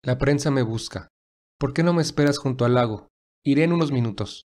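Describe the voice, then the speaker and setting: A man playing a tender, earnest, determined young gentleman in love in a romantic audio drama. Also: medium pitch, fairly quick, natural voice.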